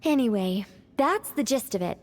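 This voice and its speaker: A woman playing a composed, gently teasing young female storyteller, narrating dramatically and articulately in a game cutscene. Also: on the high side, lively intonation, normal speed. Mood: dramatic storytelling.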